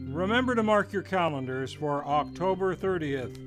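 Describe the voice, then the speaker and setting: A male station announcer reading a radio promo with a slow, dramatic delivery. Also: on the high side, animated. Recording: radio promo over music.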